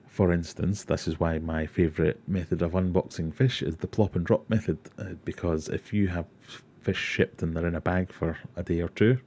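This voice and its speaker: A man's voice: low voice, steady tone, normal speed, few pauses, a little mellow. A Scottish man from Glasgow hosting an aquarium livestream, casually answering chat questions and rambling with self-deprecating asides. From Glasgow, Scotland.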